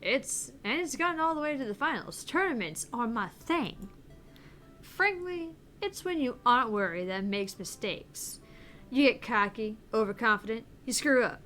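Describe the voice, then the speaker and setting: A woman's voice: a little high, lively intonation, normal speed, fairly bright tone, soft background music. A woman reading as Queen Bee, a cocky gamer. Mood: self-assured. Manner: defensive bravado, reading aloud. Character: stressed, cocky young woman tournament gamer.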